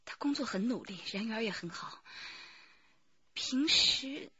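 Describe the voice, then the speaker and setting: A poised businesswoman in a radio drama, careful and guarded. The voice is very breathy with a sharp edge, in a dry, slightly dull recording. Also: a little high, animated, unhurried, dry recording, full character voice.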